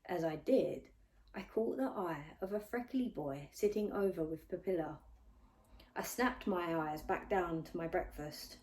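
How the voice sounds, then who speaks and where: A woman's voice: fairly low pitch, measured pace, a little mellow. Woman reading a novel aloud expressively. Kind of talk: expressive scripted audiobook narration in first person.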